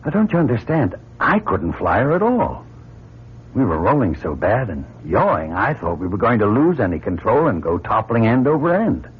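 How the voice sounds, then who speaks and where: Husky, wildly swooping astronaut. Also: male voice, fairly low pitch, big pitch swings, moderate pace, full character voice. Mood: shaken. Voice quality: husky, rough. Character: earnest, rattled male astronaut pilot. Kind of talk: vintage radio drama acting. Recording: muffled old radio recording.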